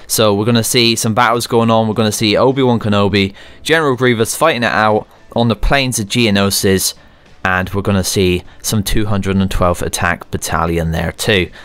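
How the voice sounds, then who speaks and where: A man's voice: on the low side, fairly even intonation, moderate pace, a bit bright, smooth, no echo. A man presenting semi-scripted gaming news as a channel host, speaking to viewers directly and informally.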